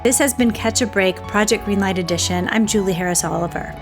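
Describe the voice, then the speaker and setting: A woman with a medium-pitched voice hosts a podcast in a conversational style. Music can be clearly heard with her voice. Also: fairly quick. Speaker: podcast host. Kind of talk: casual interviewing and podcast narration.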